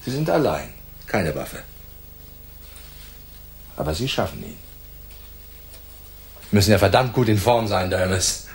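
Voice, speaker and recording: Gravelly, hard-boiled police inspector. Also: male voice, deep voice, sing-song, unhurried, long pauses, heavily acted. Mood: suspicious. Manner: gruff, probing, tough-talking. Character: hard, cruel, suspicious male homicide police inspector, old hand. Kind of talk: radio play acting.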